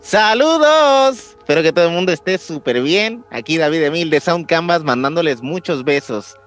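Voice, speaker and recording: Male co-host, fairly high register, informal conversational delivery. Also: animated, normal speed, a bit bright, soft background music. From Puerto Rico. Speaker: podcast co-host. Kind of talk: casual chat.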